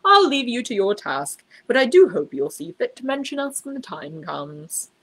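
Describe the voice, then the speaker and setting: Storybook manager, male, imposing and oily, effusive delivery with big pitch swings and a slightly husky edge female reader. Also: sing-song, moderate pace, a bit bright, dry recording, heavily acted. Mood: oily, respectful. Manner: booming, unctuous, emphatic. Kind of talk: children's storybook read aloud.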